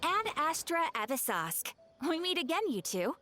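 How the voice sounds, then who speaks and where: High-voiced, polite game receptionist. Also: female voice, very animated, pushed and bright, a little hiss or hum, heavily acted. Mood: politely mischievous. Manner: polite, formal, measured. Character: polite young female guild receptionist, measured, quietly mischievous. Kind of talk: video game voice acting. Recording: music behind the voice.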